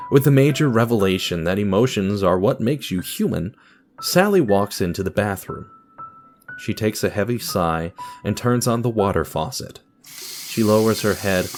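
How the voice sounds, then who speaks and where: Male narrator, lowish pitch, scripted storytelling, deliberate dramatic pauses, clear background music.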